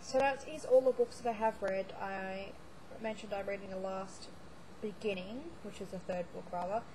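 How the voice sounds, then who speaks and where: A woman's voice: mid-range voice, fairly even intonation, normal speed, background noise. A woman starting to lose her voice, rambling chattily through a reading wrap-up with lots of fillers and restarts. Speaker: book blogger. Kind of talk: casual monthly reading wrap-up vlog.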